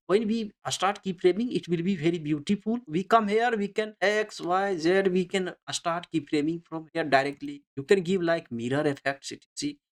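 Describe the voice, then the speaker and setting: A software tutorial instructor narrating unscripted step-by-step instructions in halting, repetitive English with non-native phrasing. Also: mid-range voice.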